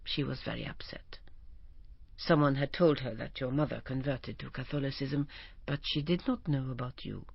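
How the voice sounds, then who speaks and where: A woman voicing a kind, gentle housekeeper who speaks sadly, softly and low, on a dull, dry recording. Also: normal speed, gravelly, slightly rough, no room sound, full character voice. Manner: sad, soft and low.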